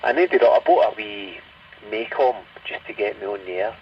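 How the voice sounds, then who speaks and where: Scottish bloke rambling away on a cb radio, muffled sound, bit of noise, glasgow accent. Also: male voice, fairly low pitch, very animated, moderate pace, gravelly. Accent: Scottish, Glasgow area. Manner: rambling, conversational. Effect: CB radio transmission. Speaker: CB radio operator. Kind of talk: casual radio chat. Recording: muffled, distant, noisy CB radio speaker in car.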